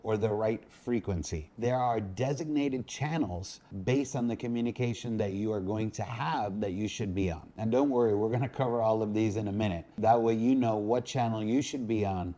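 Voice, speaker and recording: A man with a fairly low voice hosts an instructional video. His tone is dark and relaxed, and he delivers scripted explanations in measured, orderly phrases. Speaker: boating instructor, video host.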